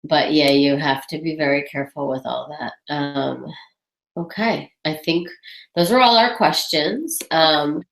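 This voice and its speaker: A woman's voice: low voice, measured pace, creaky, echoey room, a little hiss or hum, rough recording. A woman hosting a webinar Q&A in a casual way, thinking aloud and reading out viewers' questions, with a stuffy head-cold voice. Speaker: webinar host.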